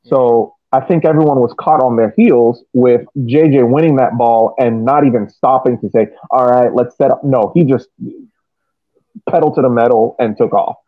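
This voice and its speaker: A man's voice: mid-range voice, normal speed, no echo. A man casually walking through soccer plays as a podcast panelist. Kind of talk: casual match analysis. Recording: dull lo-fi mic, dry sound.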